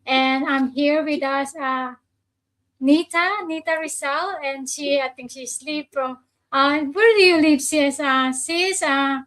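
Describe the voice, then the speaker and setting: A woman's voice: sits high, slow, fairly bright tone. A woman from the Philippines hosting a live online conversation, talking hesitantly with many fillers and restarts. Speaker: host of a live online chat. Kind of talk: casual live stream conversation.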